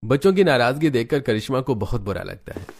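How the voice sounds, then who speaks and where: A man's voice: mid-range voice, very animated, quick pace, gravelly. A man reading scripted narration for a cartoon family story.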